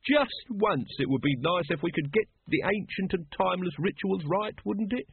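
A man puts on a pompous male cult leader in a comic storybook. He is haughty and exasperated, with a raised, very animated pitch. The recording sounds narrow-band. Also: normal speed, gravelly, a little hiss or hum. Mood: haughty, peeved.

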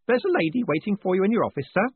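A man's voice: high voice, sing-song, racing, husky, no room sound, pitched above the performer's own voice, full character voice. A man voicing an old male postal worker who is deferential, hesitant and gossipy, saying sir at every turn. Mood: confiding. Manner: folksy, hesitant, says sir constantly. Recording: tinny phone-like sound, dry.

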